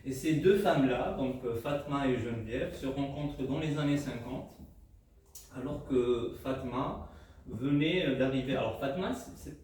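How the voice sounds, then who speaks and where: A man's voice: mid-range voice, normal speed, darkish tone. Hesitant Arabic-speaking man in an echoey hall. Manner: hesitant, many fillers and restarts. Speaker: co-author presenting his book. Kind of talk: unscripted book talk to an audience. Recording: echoey room, mic at a distance, faint noise.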